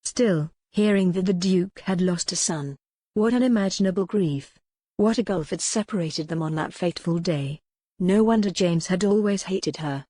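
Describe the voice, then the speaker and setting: Low-toned woman narrating historical romance. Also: normal speed, dark tone, no echo, clean studio sound. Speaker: audiobook narrator.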